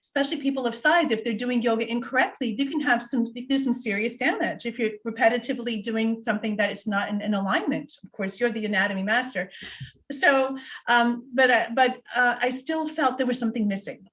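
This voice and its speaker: A middle-aged woman whose first language is Portuguese, guest in a casual interview, telling her story in an animated way with many fillers. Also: a little high, fairly quick, poor audio.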